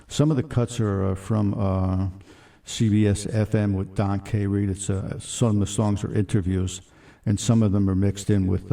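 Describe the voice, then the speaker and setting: Gravelly older man, halting. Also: mellow, far from the mic. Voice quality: deep, gravelly. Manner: halting, lots of "uh"s. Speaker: musician guest. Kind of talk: radio interview.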